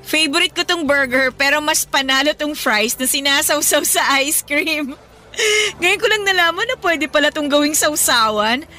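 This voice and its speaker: A woman's voice: high voice, moderate pace, bright, forceful tone. An actress playing a kind, supportive young woman in a radio drama, chirpy and encouraging, with a Filipino accent. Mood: delighted.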